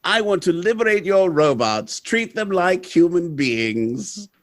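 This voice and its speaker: A man playing a confident, jovial, gentlemanly factory director in a 1930s-style radio drama. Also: high-pitched, very animated, a little slow. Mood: fond, amused. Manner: amused, self-assured, gentlemanly.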